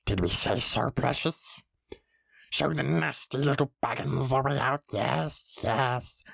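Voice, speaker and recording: A man's voice: medium pitch, slow, gravelly, fairly bright tone, slightly rough, no room sound, studio quality, exaggerated voice. A man voicing a slimy, greedy, ancient male creature that hisses and mutters to itself. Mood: whispering, scheming. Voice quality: hissing, whispery. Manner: wheedling, muttering to himself.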